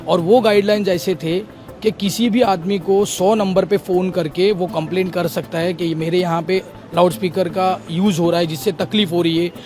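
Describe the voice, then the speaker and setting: A man's voice: high-pitched, fairly even intonation, normal speed, a little mellow, faint music behind. A man, a lawyer, answering a news interviewer, laying out legal points step by step with English legal phrases mixed in. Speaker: lawyer for the petitioners.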